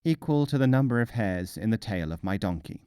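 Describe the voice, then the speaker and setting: A man voicing a cocksure trickster mullah in a storybook, assured, deliberate and teasing. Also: fairly low pitch, animated, warm tone, clear, resonant tone, no echo, studio quality, close to an everyday voice. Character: wry, cocksure male mullah, trickster folk sage. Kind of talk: storybook character voice.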